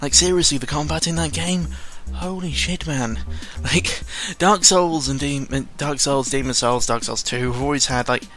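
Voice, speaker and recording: A man's voice: a little high, fairly even intonation, moderate pace, few pauses, fairly bright tone. A man giving casual, unscripted gaming commentary in a rambling, self-deprecating, sweary way. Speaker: gaming video creator. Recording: music behind the voice. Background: background music.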